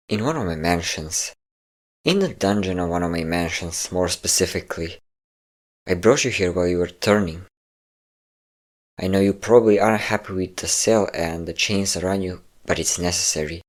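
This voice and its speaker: A man performs a possessive vampire lord with a deep male voice. He is mocking and smug, lingering on pet names as he teases. The delivery is intimate, in the manner of an audio roleplay about an obsessive lover. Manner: smug, teasing, drawn-out endearments.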